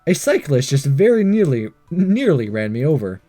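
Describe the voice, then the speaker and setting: Male let's player, higher-pitched and lively, mellow tone, stumbles through read-aloud dialogue and narration over music. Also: normal speed. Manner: stumbles over lines and re-reads them. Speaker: let's play host. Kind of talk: reading visual novel aloud with reaction commentary. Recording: music behind the voice. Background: game music.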